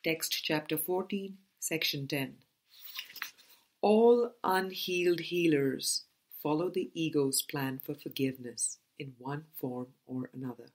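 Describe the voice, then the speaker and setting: A woman reading a book aloud as an audiobook narrator, in measured, deliberate phrases. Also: low-pitched. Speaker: audiobook reader.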